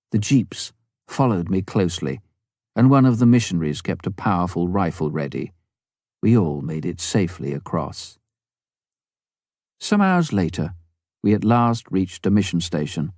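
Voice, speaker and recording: A man with a deep, mellow voice reads a memoir as an audiobook narrator. His intonation moves widely while the storytelling stays measured and clearly phrased. The delivery is scripted narration throughout. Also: no echo, clean studio sound.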